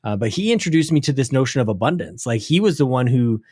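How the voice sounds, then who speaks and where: A man's voice: medium pitch, lively intonation, fast, darkish tone, slightly rough, no room sound, studio quality. A man hosting a gaming podcast, talking in a casual, thoughtful way. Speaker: podcast host. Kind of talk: casual conversation.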